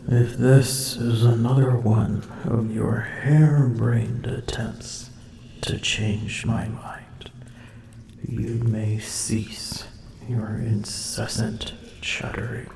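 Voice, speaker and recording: A woman's voice: rumbling low, level tone, extremely slow, gravelly, warm tone, exaggerated voice. A woman voicing a haughty, drowsy and grumpy female dragon in an ASMR roleplay, speaking in formal old-fashioned phrasing and often whispering. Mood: drowsy, irritated. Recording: roomy echo.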